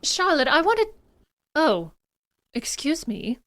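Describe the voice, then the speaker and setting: A woman's voice: high voice, big pitch swings, takes it slowly, pushed and bright, exaggerated voice. An actress playing a haughty, easily scandalized wealthy mother in a 1920s period audio drama, speaking formally. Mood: formal, curt. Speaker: actor.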